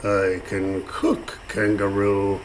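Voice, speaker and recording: An actor playing a gruff, sly pirate ship's cook in a comic radio play, with a gravelly voice and salty dialect. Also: male voice, lowish voice, monotone, takes it slowly, slight background noise. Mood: sly teasing. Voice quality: gravelly, creaky.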